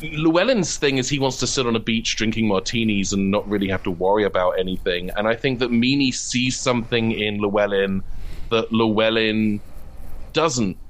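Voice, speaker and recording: A man's voice: fairly low pitch, moderate pace, rough recording. A man interviewed as a writer and voice actor, explaining his work reflectively and at length, often restarting his phrases. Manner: reflective, explains at length with repeated false starts. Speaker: guest, comedy writer and voice actor. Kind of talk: conversational interview.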